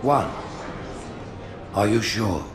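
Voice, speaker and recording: An actor playing a stately old alien high prophet, coldly authoritative, with measured, pompous oratory in a video game. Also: male voice, sing-song, full character voice. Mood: questioning. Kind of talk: cinematic game voice acting.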